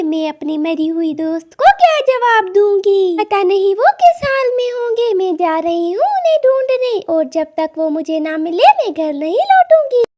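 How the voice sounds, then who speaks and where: A woman's voice: extremely high pitch, a little mellow, pitched above the performer's own voice, full character voice. A woman doing a tender mother bird. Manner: tender and soothing. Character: caring mother bird, gentle and protective. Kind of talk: cartoon voice acting.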